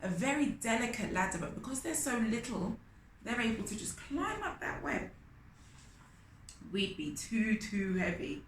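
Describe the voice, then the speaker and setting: Slow-paced woman reading to children. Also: fairly high pitch. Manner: expressive, addresses young listeners with questions. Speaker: storytime host reading a picture book aloud. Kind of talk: children's read-aloud with asides to the listeners. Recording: roomy echo, faint background noise.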